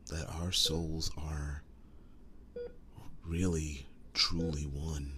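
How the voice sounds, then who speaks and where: Man playing a deep, whispery, slow-talking werewolf boyfriend. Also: level tone, noisy background, full character voice. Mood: tender, solemn. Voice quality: soft, breathy, often dropping to a whisper. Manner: earnest, halting, reassuring. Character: devoted, tender werewolf boyfriend sharing his soul with demon knight. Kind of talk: ASMR roleplay, intimate monologue.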